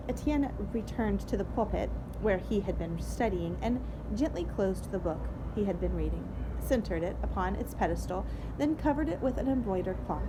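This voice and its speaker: Woman reading a story, noisy home recording. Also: on the high side. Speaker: story reader. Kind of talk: expressive storybook read-aloud.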